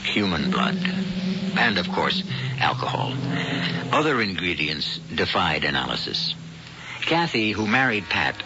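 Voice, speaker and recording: A man hosting and narrating a radio mystery show in a measured, ominous way. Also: sits low. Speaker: host and narrator. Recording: dull old radio broadcast with music.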